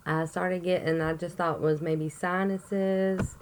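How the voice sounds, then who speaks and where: A woman with a hoarse voice from a chest cold, rambling chattily to camera in a casual update video with plenty of ums. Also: fairly low pitch, a little mellow, a little hiss or hum. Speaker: vlogger.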